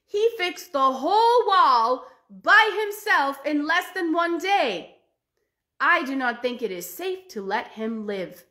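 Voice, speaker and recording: A ruthless emperor who fears any man stronger than he is, played by a woman telling the tale. He speaks sternly and pompously in a high, lively voice with slow, weighty phrasing. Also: exaggerated voice. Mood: wary. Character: wary ruthless male Chinese emperor.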